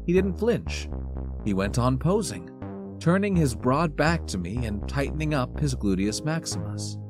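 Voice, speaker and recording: A man narrating a scripted short story in an expressive reading style. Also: mid-range voice. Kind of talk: animated scripted fiction narration. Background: music.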